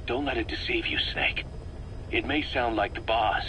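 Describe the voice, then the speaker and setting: A man's voice: medium pitch, moderate pace, sharp tone, lightly acted, poor audio. A man playing a stern, distrustful mercenary officer in a video game, giving briefings in a clipped, authoritative way. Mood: grave briefing. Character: stern, distrustful male mercenary second-in-command briefing his commander. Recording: faint background noise on cassette recording.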